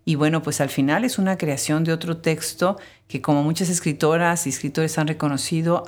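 A woman hosting a cultural interview, asking questions and encouraging her guest with quick affirmations. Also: sits low. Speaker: interview host.

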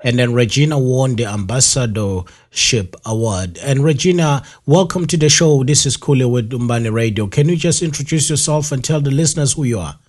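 A man's voice: medium pitch, brisk, hardly any pauses, darkish tone. A man hosting a live radio interview, rambling with many fillers and repeating himself. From South Africa. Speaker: radio show host.

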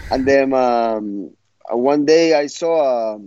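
A man's voice: medium pitch, extremely slow, warm tone, clean tone, dull audio. A young man from Ecuador, giving frank, blunt interview answers full of restarts. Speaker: guest fighter.

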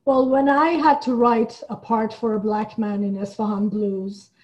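A woman's voice: a little high, fairly even intonation, measured pace, a little mellow, dull audio. A woman from Iran speaking as an interview guest in a thoughtful, conversational way. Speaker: guest, playwright and theater artistic director.

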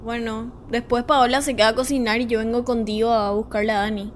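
A woman plays a skeptical young student with a high voice and a Paraguayan accent. She is brisk and bossy in this radio drama, with faint noise in the background. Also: flat delivery, natural voice. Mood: practical. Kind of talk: audio drama dialogue.

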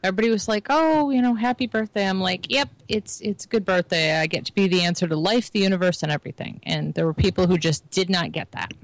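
A woman in her forties chatting casually, thinking out loud and explaining her views. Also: medium pitch, fast, hardly any pauses, poor audio. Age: 40s. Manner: musing, explanatory. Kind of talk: casual chat.

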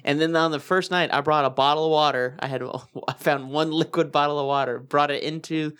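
A man's voice: a little high, brisk, smooth. A young adult man co-hosting a podcast, telling a story casually with laughs and profanity. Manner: animated, laughing, profane anecdotes.

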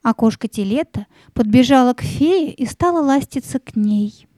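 A woman narrating a children's audio play as a scripted story, in an expressive, lyrical storytelling manner. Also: sits high, moderate pace, dry recording, studio quality.

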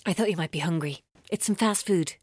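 A woman's voice: on the low side, fairly quick, a little mellow, husky, dry recording, clean studio sound. An actress playing a menacing, taunting woman captor in an audio drama, mocking and theatrical, shifting between playful and bitter. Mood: controlled, taunting. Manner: theatrical, mocking, mercurial, intense.

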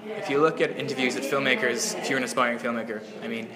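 A man's voice: medium pitch, steady tone, quick pace, a loud crowd, poor audio. Rambling young man filmmaker. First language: Irish. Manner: rambling, many fillers and restarts. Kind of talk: interview answer.

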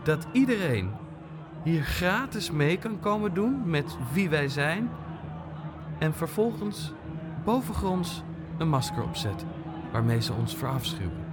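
An earnest young activist at the microphone in an audio drama. The delivery is very slow and halting, confessional and deliberate, with clear music playing behind it. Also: male voice, fairly high pitch, big pitch swings. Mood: defiant, solemn. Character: nervous, earnest young queer activist performing spoken word. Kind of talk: confessional spoken-word stage monologue in audio drama.

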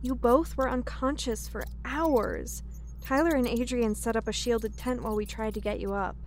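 A woman's voice: on the high side, moderate pace, slight background noise, close to an everyday voice. A woman playing a caring spaceship crewmate in an audio drama, speaking in a soothing, reassuring way. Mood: comforting.

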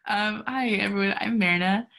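Chatty woman with a gravelly edge. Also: mid-range voice, moderate pace, pushed and bright. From Egypt, raised in San Diego. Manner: chatty, lots of "like" and "um". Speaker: PhD student. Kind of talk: casual group chat. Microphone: video call.

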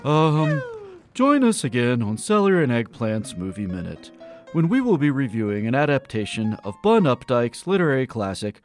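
An actor playing a formal but easily rattled radio announcer in a comedic radio play. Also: male voice, mid-range voice, sing-song, normal speed, faint music behind, natural voice. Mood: formal, promotional.

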